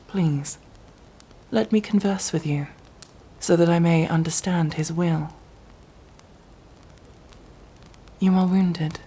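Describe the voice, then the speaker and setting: A woman's voice: low-pitched, mellow, slightly rough, heavily acted, poor audio. A woman playing a devout, zealous sci-fi battle sister in an ASMR roleplay, with solemn, preaching, archaically formal speech. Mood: gentle, pious. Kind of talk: ASMR roleplay, dramatic monologue.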